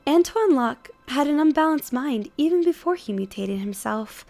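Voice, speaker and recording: Earnest female therapist, woman acting, higher-pitched voice, measured, formal, articulate, firm, idealistic, animated short, music underneath. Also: creaky, dry recording. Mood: earnest, concerned.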